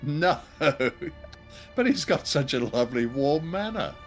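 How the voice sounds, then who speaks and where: A man co-hosting a conversational review show with a droll, halting delivery. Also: on the high side, very animated, faint music behind. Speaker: podcast co-host. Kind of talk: conversational radio drama review.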